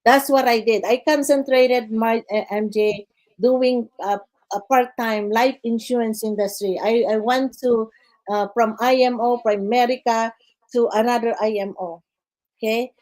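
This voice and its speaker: Older filipino woman guest, slightly high voice, halting delivery with many fillers, chatty. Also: fairly even intonation, a little mellow, rough recording. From Philippines. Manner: halting, many "uh" fillers, says "guys" and "okay" often. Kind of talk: casual interview storytelling.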